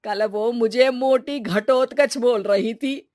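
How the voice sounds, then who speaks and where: A woman voicing an indignant older mother-in-law with a scolding, dramatic manner in an animated family comedy. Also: on the high side, slow, no room sound, clean studio sound.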